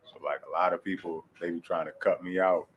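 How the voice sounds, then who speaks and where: A man in his late twenties with a naturally low voice, talking casually and a bit hesitantly as an interview guest. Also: fairly even intonation, brisk, dull audio. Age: late 20s. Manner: laid-back, hesitant, many fillers and restarts. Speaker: guest rapper.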